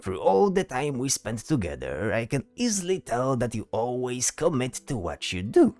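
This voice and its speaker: A man's voice: medium pitch, huge pitch swings, normal speed, darkish tone, no room sound, faint music behind. A man voicing a bold, teasing bard in a fantasy audio drama, talking theatrically and tagging questions onto his sentences. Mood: playful, lecturing. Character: flamboyant male bard, teasing, bold, self-assured.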